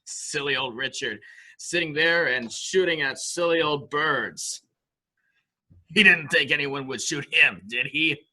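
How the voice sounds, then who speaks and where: A man's voice: a little high, measured pace, sharp tone, heavily acted. A man playing a childlike, dangerously unhinged killer in a murder mystery drama, boasting in a sing-song way with repeated phrases. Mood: boastful, sulky. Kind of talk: amateur murder mystery audio drama acting.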